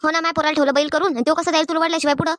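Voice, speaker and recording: Woman playing elderly village mother-in-law, very fast talker, high voice, sharp-tongued complaints, rural Maharashtrian accent, cartoon comedy. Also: flat delivery, dry recording. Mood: angry, suspicious. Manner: scolding, complaining, rapid-fire. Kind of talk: animated family comedy acting.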